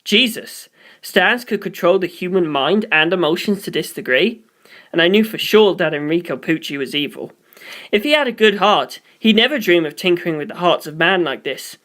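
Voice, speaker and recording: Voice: medium pitch, animated, moderate pace, a bit bright. A reader delivering scripted first-person story narration, as for an audiobook.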